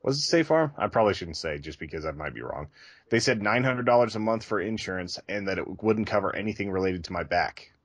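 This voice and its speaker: Low-voiced man chatting casually on a podcast. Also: lively intonation, fast talker, slightly rough, no echo. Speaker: podcast co-host.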